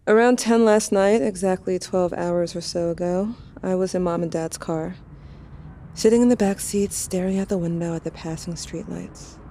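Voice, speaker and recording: Woman as bitter, grieving teen girl, audio-drama letter narration; lowish mellow voice, blunt and confessional. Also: fairly even intonation, moderate pace, a little hiss or hum, close to an everyday voice. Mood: bitter, frustrated. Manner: sardonic, confessional, bluntly informal. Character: angry, grieving teenage girl writing to her dead sibling.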